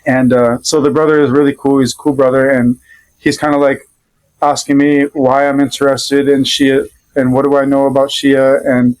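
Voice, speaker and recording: A man's voice: mid-range voice, little pitch movement, a little mellow, clear, resonant tone, rough recording. Easygoing man with a Rhode Island accent. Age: adult father. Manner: easygoing, loosely rambling with many pauses. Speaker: podcast guest. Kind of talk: casual conversational storytelling.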